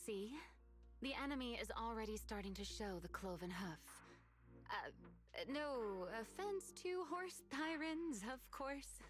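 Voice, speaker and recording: A woman playing a confident, teasing, sly strategist in a sci-fi video game, with playful, measured theatrical delivery. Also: on the high side, lively intonation. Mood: wry. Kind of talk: scripted video game voice acting. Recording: game music behind the voice. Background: background music.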